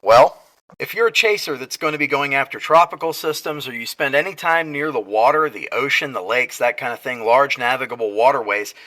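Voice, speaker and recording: Male presenter, mid-pitched and bright, forceful and explanatory, informal show-and-tell delivery aimed straight at viewers. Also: a little fast. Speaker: storm chaser presenting radio gear. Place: garage partly sunk into the ground.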